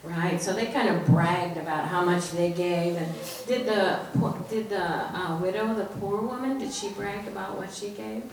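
A woman's voice: lowish voice, moderate pace, few pauses, a crowd in the background. A woman leading a children's message at church, speaking simply and prompting the group with questions and recaps. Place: large indoor church space during a service. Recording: echoey, noisy church recording from far off.

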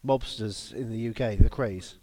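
A man's voice: lowish voice, normal speed, mellow, no echo. A man co-hosting a comedy podcast in casual improvised chat, bantering and slipping into silly voices. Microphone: podcast microphone with headphones.

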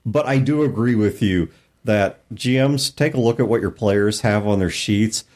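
A man's voice: on the low side, moderate pace. A man hosting a casual panel chat about tabletop games, opinionated and given to mild exclamations. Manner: animated, opinionated, mildly exclamatory. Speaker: podcast host steering the discussion.

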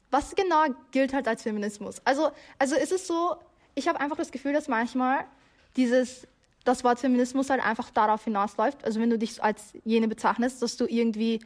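A young adult woman speaking casually as a radio guest, loose and searching, with lots of filler words. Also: a little high. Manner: loose and searching, many fillers like halt and also. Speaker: interview guest. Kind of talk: casual spoken opinion.

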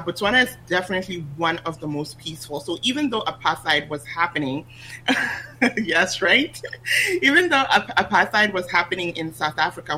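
A woman from Ghana, a guest talking casually about her life and business, lively and quick to laugh. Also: deep voice, rough recording. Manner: lively, laughs often, restarts sentences. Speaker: guest, online business coach. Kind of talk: casual interview chat. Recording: faint hum under the voice.

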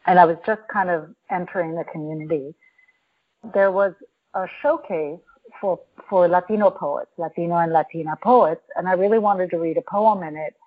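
Woman telling her story over a tinny phone line. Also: on the low side. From New York. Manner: reflective, halting with restarts. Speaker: podcast guest. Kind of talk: personal storytelling.

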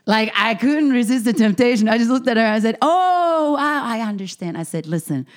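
A woman from Finland, a comedian and health coach, telling stories in casual chat, animated, full of fillers and swearing freely. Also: fairly high pitch, brisk.

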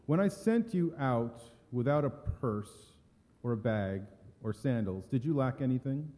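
A man acts out a gentle, solemn holy teacher in a dramatic scripture reading. The voice is very dark and mellow, middle in pitch, with subdued, earnest delivery. Long reverb of a hall surrounds it. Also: animated, a little slow, close to an everyday voice. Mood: earnest, instructing.

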